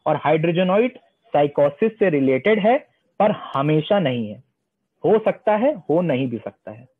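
A young man teaching homeopathy, reading English passages slowly and then explaining them, often answering his own questions. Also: fairly high pitch, lively intonation, slightly rough. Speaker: homeopathy lecturer. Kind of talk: online lecture mixing Hindi and English. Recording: tinny phone-line sound.

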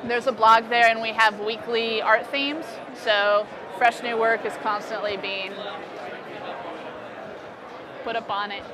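Busy convention-hall chatter surrounds a young American woman. Her voice sits slightly high, and she explains things informally, like an artist chatting with an interviewer at her booth. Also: fairly even intonation, moderate pace. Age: young adult. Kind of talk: casual, informal interview presentation. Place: indoor convention expo booth. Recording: busy crowd noise around the voice. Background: convention hall crowd chatter.

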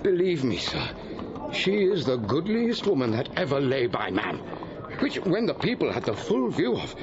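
An actor playing a sociable, gossipy gentleman eyewitness in a Shakespearean audio drama, with an animated, relishing delivery. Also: medium pitch, normal speed, crowd noise. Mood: delighted, excited. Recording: dull audio with background noise.

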